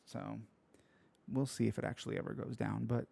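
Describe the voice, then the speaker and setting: A man hosting a casual solo fight recap, with a sleepy, croaky voice, rambling through fillers and restarts and swearing freely. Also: lowish voice, steady tone, brisk, warm tone. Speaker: podcast host.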